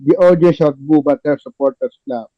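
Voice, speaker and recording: A man's voice: on the high side, normal speed. A man reading stage directions as scripted narration in a play table read, on a lo-fi recording.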